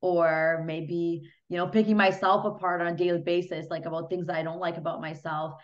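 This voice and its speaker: A young woman from Hungary talks casually as a podcast guest, her speech full of "like" and "you know". Her voice sits fairly low for a woman. The sound is dull and lo-fi, picked up by a laptop mic on a video call. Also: level tone, brisk. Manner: chatty, many fillers like "you know" and "like". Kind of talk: casual interview chat. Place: small home room. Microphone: laptop webcam mic on a video call.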